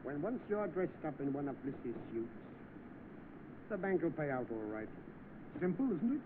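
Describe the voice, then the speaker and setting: A smug swindler in a 1930s film. His voice is high and very animated, suave but commanding and faintly menacing. It comes through a tinny old soundtrack. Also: moderate pace, noisy background. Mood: threatening, demanding. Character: smug, scheming male swindler, bossy and menacing.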